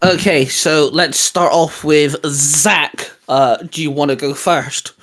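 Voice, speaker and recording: A man's voice: fairly high pitch, fairly even intonation, takes it slowly, fairly bright tone. A man hosting a fan discussion show in an enthusiastic, emphatic presenting manner. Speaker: host. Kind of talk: scripted intro, then casual fan discussion.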